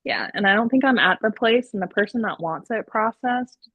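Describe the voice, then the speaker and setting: A woman's voice: mid-range voice, moderate pace, breathy edge, no room sound. A woman taking part in a group call, talking casually, on slightly dull lo-fi call audio. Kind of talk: casual conversational question and reply.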